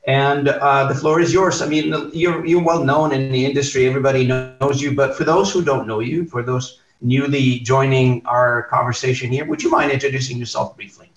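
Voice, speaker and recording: A man's voice: medium pitch, steady tone, moderate pace, few pauses. Man hosting a podcast, roomy and distant. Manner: hesitant, many fillers and restarts. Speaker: podcast host. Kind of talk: podcast interview. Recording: roomy, distant, dull sound.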